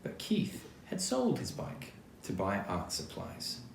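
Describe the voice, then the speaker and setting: Australian male, lowish voice, expressive children's storytime read-aloud with chatty asides. Roomy sound with background noise. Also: slow pace, vocal fry. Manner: expressive, chatty, addressing young listeners directly. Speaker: actor reading a children's book aloud.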